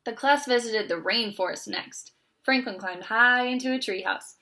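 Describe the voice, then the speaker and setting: A woman's voice: fairly high pitch, moderate pace, gravelly, pushed and bright. A woman reading a children's picture book aloud as a storyteller.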